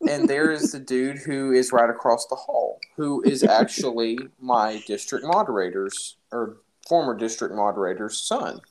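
A man's voice: mid-range voice, animated, moderate pace, few pauses, darkish tone, a little hiss or hum, low-quality recording. A young man casually telling an anecdote in an informal, jokey, exaggerating way. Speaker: storyteller in a podcast-style phone chat.

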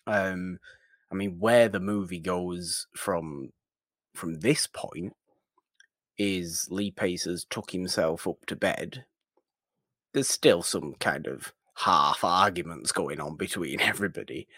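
A man's voice: sits low, slow pace, a bit bright, no echo, clean studio sound. A man co-hosting a podcast in a casual, conversational style, with a wry, emphatic way of retelling things. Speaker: podcast co-host. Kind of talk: casual film discussion.

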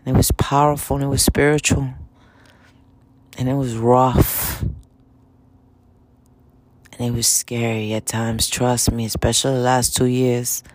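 A woman's voice: a bass voice, little pitch movement, unhurried, pauses often, a little mellow, no echo. A woman in her late fifties with a New York accent, giving an informal motivational talk in emphatic, repeated short phrases. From Dominican Republic, raised in Washington Heights, New York City. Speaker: spiritual self-help vlogger.

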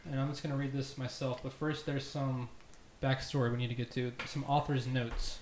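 Male podcast host, mid-pitched, reading amateur fiction for laughs, echoey and noisy room. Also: little pitch movement, normal speed. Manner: joking, narrates amateur fiction aloud. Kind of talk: casual chat and reading fan fiction aloud. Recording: echoey room with noticeable background noise.

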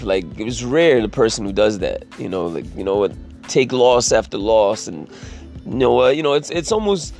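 A man with a raspy voice talking in a casual, rambling monologue, swearing freely and leaning on "you know" as he restarts his sentences. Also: mid-range voice. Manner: profane, filler-heavy, restarts sentences.

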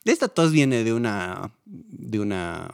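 A man's voice: on the low side, sing-song, takes it slowly, vocal fry, smooth, dry recording. Joking man, guest on a dry-sounding podcast. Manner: joking, playful, laughs often. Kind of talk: casual podcast chat.

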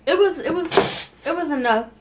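A woman's voice: high voice, lively intonation, moderate pace. A woman in her twenties, chatting casually as a podcast co-host and telling her story with laughs. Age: 20s. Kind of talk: casual chat. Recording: noisy home recording.

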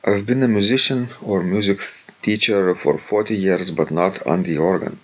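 A man's voice: low-pitched, moderate pace, lo-fi sound. A man from Lithuania with a Lithuanian accent, co-hosting a podcast in casual, hesitant chat with many fillers and reading out a listener's letter. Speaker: podcast co-host.

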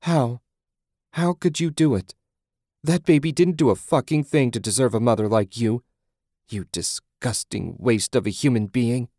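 A man voicing a furious, grieving woman in an audiobook, vengeful, forceful and profane as the character confronts someone. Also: fairly low pitch, measured pace, no echo, studio quality. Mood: furious, scornful.

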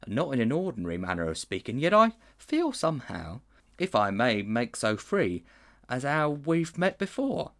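A man's voice: mid-range voice, big pitch swings, normal speed, a bit bright, pitched above the performer's own voice. A man voicing a humble, deferential London cab driver in folksy phrasing.

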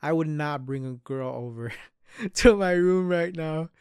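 A man's voice: on the high side, measured pace, darkish tone, smooth, dry recording, studio quality. A man in his early twenties hosting a solo podcast, speaking candidly, swearing often and trailing off into restarts. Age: 20s. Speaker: podcast host. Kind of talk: solo reflective podcast monologue.

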